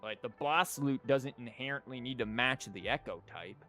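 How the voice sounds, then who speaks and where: A man's voice: medium pitch, normal speed, sharp tone, faint music behind. A man giving casual livestream commentary on a game, rambling informally and thinking aloud with false starts. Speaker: video game streamer.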